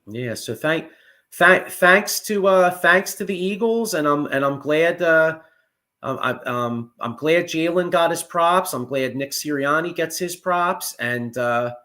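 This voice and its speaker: A man, hosting a casual sports chat, excitable and profane with lots of restarts. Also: a little high, measured pace. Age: 40s. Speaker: podcast host.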